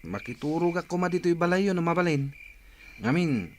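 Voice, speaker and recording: Actor as wheedling young man, animated high voice. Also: moderate pace, slight background noise, natural voice. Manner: hesitant, cajoling. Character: sly, wheedling young male cousin and grandson. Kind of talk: radio drama acting.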